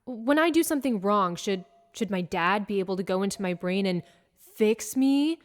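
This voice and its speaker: A woman's voice: medium pitch, lively intonation, normal speed, natural voice. An actress playing a sarcastic, loyal teenage girl who talks in casual slang. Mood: indignant. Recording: long hall reverb with music.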